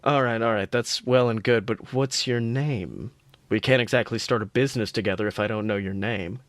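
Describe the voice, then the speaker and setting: A man voicing a guarded, terse male killer in a spooky podcast story, with a deadpan manner. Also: fairly low pitch, normal speed, dry recording, studio quality, pitched below the performer's own voice, close to an everyday voice.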